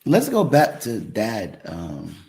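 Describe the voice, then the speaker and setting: A man co-hosting an interview, asking measured, probing questions. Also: lowish voice, huge pitch swings, creaky, a little mellow. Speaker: co-host. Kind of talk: conversational interview.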